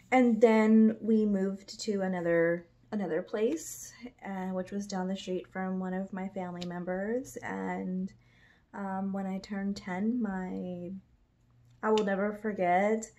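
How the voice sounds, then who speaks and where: A woman's voice: medium pitch, a little mellow. A woman, telling her own life story in a rambling, casual way, full of "like" fillers. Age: 30s. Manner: rambling, many "like" fillers, laughs a lot. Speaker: vlogger.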